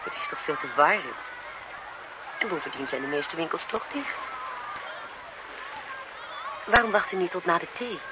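An actress playing a deferential, solicitous nanny in a 1970s radio drama, polite, meek and fussing. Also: female voice, fairly low pitch, lively intonation, a little fast, heavy background noise, close to an everyday voice.

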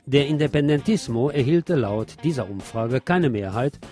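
A man's voice: mid-range voice, animated, normal speed, dark tone, faint music behind. A man presenting radio news, reading scripted reports and adding brief asides of his own.

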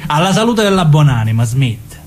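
A man's voice: on the high side, very animated, normal speed, a little mellow, soft background music. A man playing a cocky, crude young gangster in a radio drama, with a southern Italian accent and slangy, swaggering talk. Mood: cocky, teasing. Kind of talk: naturalistic radio drama dialogue.

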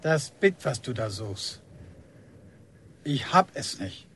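A man's voice: mid-range voice, sing-song, slow, fairly bright tone, a little hiss or hum, heavily acted. A man acting in a radio drama as a stern, stubborn middle-aged village policeman and father, curt, defensive and menacing. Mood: guarded, defiant.

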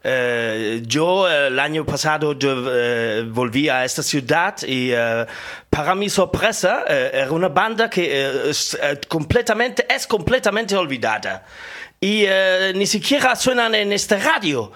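An actor playing a rambling German male researcher who speaks with a German accent, hesitating and filling his pauses with sounds. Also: on the high side, lively intonation, pushed and bright. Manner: hesitant, full of filler sounds. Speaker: interview guest.

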